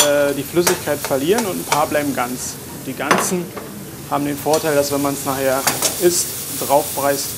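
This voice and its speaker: A young man, a chef, calmly explaining a dish step by step with a few filler pauses, on a lapel mic. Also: fairly high pitch. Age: young adult. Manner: relaxed, plain explaining with frequent filler pauses. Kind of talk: cooking demonstration. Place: garden, greenhouse and restaurant kitchen. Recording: sizzling kitchen noise behind. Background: kitchen noise and sizzling pan.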